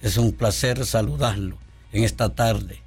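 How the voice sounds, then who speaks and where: A man from Honduras, a pastor speaking as a radio guest in a studio, devout and halting with many fillers. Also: fairly low pitch, a little mellow, breathy edge, soft background music.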